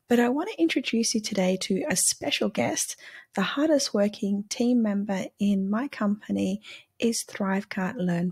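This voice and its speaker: A woman hosts her own channel and talks through a product review in a relaxed, chatty way. Her voice is croaky today, with a gravelly fry and a husky edge. She explains things step by step and earnestly, with the odd um and uh. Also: mid-range voice. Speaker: channel host. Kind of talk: casual product review to camera.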